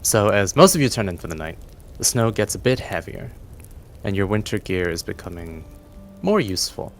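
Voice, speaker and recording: Male game master, low and gravelly, narrating a fantasy adventure. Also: big pitch swings, normal speed, slight background noise. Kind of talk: scripted-sounding narration of a tabletop fantasy game.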